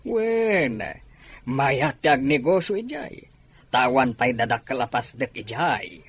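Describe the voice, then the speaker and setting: A man's voice: mid-range voice, sing-song, slow pace, a bit bright, heavily acted. A man playing a bossy, impatient, well-off businessman father with a brusque manner, in a radio drama. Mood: businesslike. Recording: clearly noisy, vehicle ambience behind.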